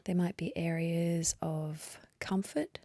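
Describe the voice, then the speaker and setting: A woman leading a guided meditation in a soft, breathy voice, gently and unhurriedly, with long pauses. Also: lowish voice, no room sound. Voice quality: soft, breathy, partly whispery. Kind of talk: guided meditation, slow calm instruction.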